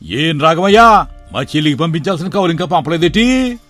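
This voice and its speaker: A man acts out a crafty, greedy middle-aged villager from a moral story. His voice sits a little high and he talks in a colloquial rural Telugu dialect, smooth and reassuring on the surface. Soft music runs underneath. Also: sing-song, normal speed. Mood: bossy.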